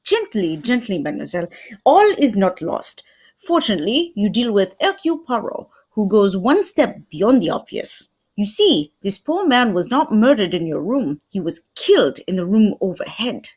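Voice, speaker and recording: A woman playing a fussy, courtly Belgian male detective in a radio mystery, speaking formally and deliberately, with theatrical precision. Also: mid-range voice, very animated, a little mellow, husky. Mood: shrewd, assured. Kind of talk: old-time radio mystery script reading.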